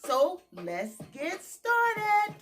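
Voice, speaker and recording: Woman, children's story host, singsong, theatrical, swooping pitch, extremely unhurried, music behind. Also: huge pitch swings. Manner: theatrical, emphatic, with drawn-out phrases and repetition. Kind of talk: lively children's read-aloud with singsong greetings.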